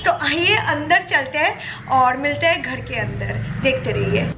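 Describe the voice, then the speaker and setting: A young woman vlogging casually and emotionally as she walks a handheld camera through the bare rooms of an old flat. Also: high voice, a little slow, creaky, heavy background noise. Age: young adult. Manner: chatty, emotional, mixes in English phrases. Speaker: YouTube vlogger. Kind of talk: casual home tour vlog.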